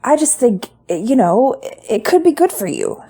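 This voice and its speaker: A woman's voice: a little high, big pitch swings, a little slow, dark tone, slightly rough, soft background music, voice pushed up, heavily acted. A woman reading an earnest, pushy younger brother.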